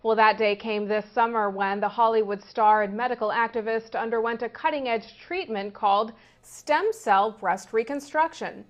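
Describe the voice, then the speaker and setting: A woman interviewer reading a scripted news introduction and asking questions in a measured, read-aloud way. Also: on the high side. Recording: dull lo-fi audio.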